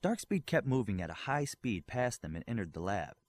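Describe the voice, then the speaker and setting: A man's voice: lowish voice, sing-song, brisk, no echo. A man reading a scripted science fiction story aloud as an audiobook narrator. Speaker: audiobook reader.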